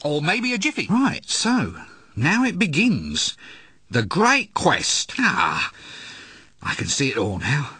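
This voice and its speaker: An actor playing a hapless, sardonic and cowardly assistant wizard in a video game, with an exasperated, whiny manner. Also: male voice, a little high, wildly swooping pitch, slow pace, pushed and bright, slightly rough, no echo, exaggerated voice. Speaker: video game player character. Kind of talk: scripted comic game dialogue.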